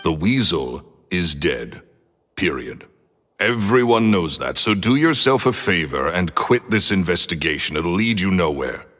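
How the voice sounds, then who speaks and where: An actor playing a short-tempered retired magician who talks in curt, blunt commands. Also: male voice, deep voice, big pitch swings, unhurried, a bit bright, full character voice. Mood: dismissive. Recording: reverb with game music.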